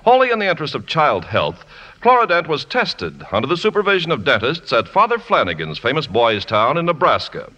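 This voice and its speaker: Bright, emphatic 1950s male radio announcer. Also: a little high, wildly swooping pitch, a little fast. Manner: polished, emphatic salesmanship. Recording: dull, reverberant 1950s radio recording.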